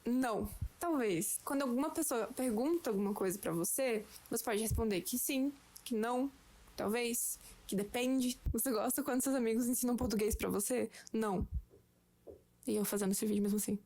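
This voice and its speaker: A young Brazilian woman giving a slow, patient, playful lesson at home to a friend. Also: on the high side, mellow. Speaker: language teacher for a friend. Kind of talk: casual home-made lesson.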